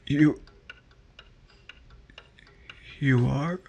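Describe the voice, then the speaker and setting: Man acting a slow, earnest, lovestruck radio husband. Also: medium pitch, vocal fry, a little mellow, breathy edge, highs cut off, full character voice. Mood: stunned. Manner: halting, earnest, deliberate. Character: lovestruck unhappily married man, earnest, pleading, bewildered. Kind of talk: 1950s radio drama acting.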